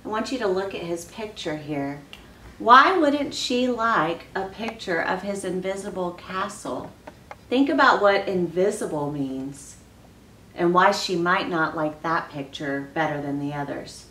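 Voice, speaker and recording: A woman reads aloud for children as a school reading coach. She is expressive and stops to ask her young listeners questions. She sounds set back from the microphone in an echoey room, with faint noise behind her. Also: on the low side, unhurried. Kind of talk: children's picture book read-aloud. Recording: roomy, distant mic, faint background noise.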